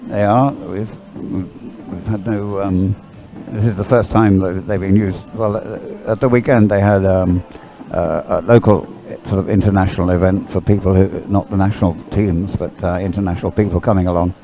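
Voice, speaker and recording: A low-voiced man co-commentating live on a race. He talks in a chatty, unscripted way, hesitating and repeating words as he rambles through his explanations. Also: fairly even intonation, normal speed, hardly any pauses, mellow. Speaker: sports co-commentator, expert analyst.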